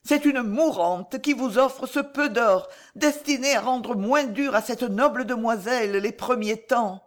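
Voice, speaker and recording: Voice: mid-range voice, measured pace, pitched above the performer's own voice, lightly acted. A tormented woman spy, played by a female reader, pleads with humble intensity. Her formal words come out strained and faltering, heavy with guilt, as in a dramatic historical audiobook. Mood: broken pleading.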